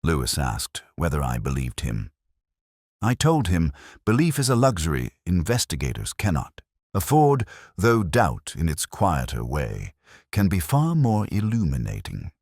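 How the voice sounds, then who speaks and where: A man narrating a scripted first-person detective story as a brooding, reflective investigator, in a measured, literary manner. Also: sits low, huge pitch swings, a little mellow, no echo, studio quality. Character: melancholy, reflective male police detective telling his own case.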